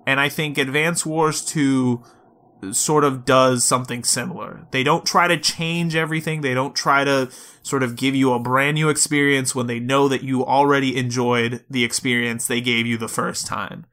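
This is a man with a bright, forward voice at a middling pitch. He talks like a podcast host in a casual video game discussion. He lays out his points in a measured way and repeats for emphasis. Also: steady tone.

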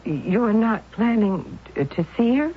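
An anxious devoted aunt. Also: female voice, fairly high pitch, lively intonation, slow pace. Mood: earnest. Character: devoted worried middle-aged aunt. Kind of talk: 1970s radio drama acting. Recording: dull old radio broadcast with faint hiss.

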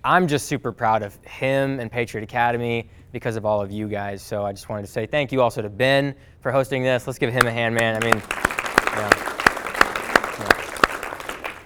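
A young man giving a lively, joking talk to a class in a meeting room. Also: medium pitch, normal speed, a faint crowd, slight background noise. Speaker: speaker.

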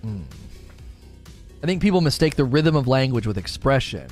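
A man's voice: mid-range voice, very animated, normal speed, a little mellow. Man, livestream host, casual chat, rambling, enthusiastic, mid-range voice, faint music behind. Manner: enthusiastic, rambling, repeats himself. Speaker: livestream talk show host. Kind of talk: casual livestream chat reading viewer comments.

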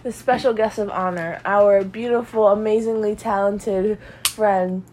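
A woman's voice: mid-range voice, fairly even intonation, measured pace, darkish tone, slight background noise. A young woman co-hosting a casual chat, sounding stuffy and congested and rambling with giggles and fillers. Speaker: podcast co-host.

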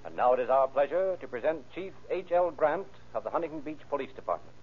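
A man's voice: a little high, expressive, fast, over loud music. A man announcing and narrating in formal, theatrical, emphatic phrasing, in 1930s radio style. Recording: tinny old radio recording.

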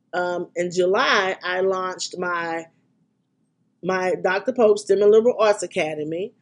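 A middle-aged woman hosting a podcast, talking in a chatty, excitable way with frequent ums, her voice congested from a cold. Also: medium pitch. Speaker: podcast and radio host. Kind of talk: casual podcast chat.

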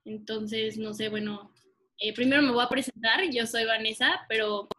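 Bright, giggly young woman. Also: a little high, moderate pace. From Mexico. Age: young adult. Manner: giggly, hesitant, with many fillers. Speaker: podcast co-host. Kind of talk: casual podcast chat. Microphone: remote call recording.

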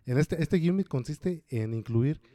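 A man talks in a very dark, mellow voice, unhurried and relaxed. He co-hosts a casual podcast, explains each point at length and fills the gaps with easy filler words. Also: on the high side. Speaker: podcast co-host. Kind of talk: casual podcast chat about horror films.

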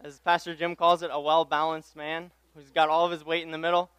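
A young man speaks from the pulpit in a high-pitched, smooth voice, recorded close and dry. His sermon is conversational and self-deprecating, built on personal anecdotes, and he often circles back over his own phrases. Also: level tone, a little fast, fairly bright tone, no echo. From Tanzania. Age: young adult. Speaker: pastoral intern preaching as guest speaker. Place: church pulpit.

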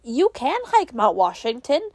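A woman's voice: fairly high pitch, big pitch swings, measured pace, a little mellow, no echo. A young woman hosting her own podcast, rambling in a chatty, self-mocking way while stuffy with a cold. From New England, US. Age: young adult. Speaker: podcast host. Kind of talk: casual solo podcast chat.

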